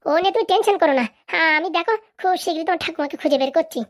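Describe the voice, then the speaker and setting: A woman acts out a scheming village madam from a comic cartoon. Her voice is high and she rattles along extremely fast. She sounds like a conspirator, ambitious and ready for revenge. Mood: vengeful. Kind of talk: comic cartoon drama acting.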